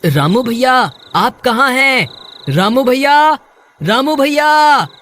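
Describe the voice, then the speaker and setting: A man's voice: very high voice, lively intonation, slow pace, sharp tone, a little hiss or hum, exaggerated voice. A man playing a worried, dutiful younger brother from a village, earnest and exclaiming, in a cartoon horror story. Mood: anxious, searching.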